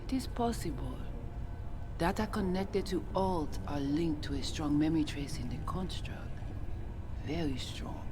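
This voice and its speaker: A solemn female hacker leader from a video game, played by a woman. Her speech is formal, measured and cryptic, with quiet command, set in loud ambient noise. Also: sits low, close to an everyday voice.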